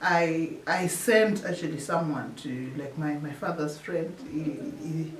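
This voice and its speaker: A woman from Zimbabwe, a researcher telling her story in an interview, often pausing and restarting her phrases. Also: low voice. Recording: echoey room, distant mic, faint hiss.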